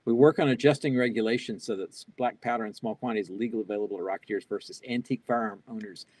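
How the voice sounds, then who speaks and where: A man's voice: on the low side, rapid-fire, darkish tone. An older man speaking as a keynote presenter in a live online Q&A, reading audience questions aloud and answering off the cuff with fillers and restarts. He talks through a wired earbud mic on a video call from a small home office. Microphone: wired earbud headset on a video call.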